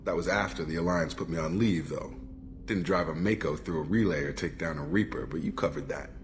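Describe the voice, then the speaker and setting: A man's voice: rumbling low, fairly quick, a little hiss or hum. A man playing a serious, principled ex-military operative in a video game, speaking matter-of-factly. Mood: earnest, steady. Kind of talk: video game dialogue acting.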